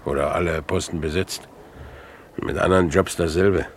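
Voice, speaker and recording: Worn-out fugitive, low-pitched, plain-spoken, resigned; vintage radio play, slight noise. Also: male voice, steady tone, normal speed. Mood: bitter, resigned. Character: weary, jobless man fleeing with his young niece. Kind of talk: 1950s German radio drama acting. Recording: noisy 1950s radio drama recording.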